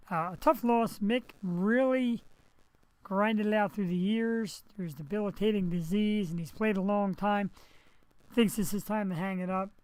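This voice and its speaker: Hoarse, raspy male webcast host. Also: high voice, no room sound, rough recording. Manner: rambling and chatty, talking straight to viewers. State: hoarse after surgery. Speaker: talk show host. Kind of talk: casual live webcast monologue. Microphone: headset.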